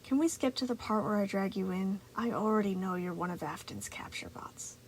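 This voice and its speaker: A woman voicing an unhinged, condescending male moon-faced robot in a slow, crackling near-whisper, taunting with tightly controlled intensity, in a story reading. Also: medium pitch, animated, lightly acted.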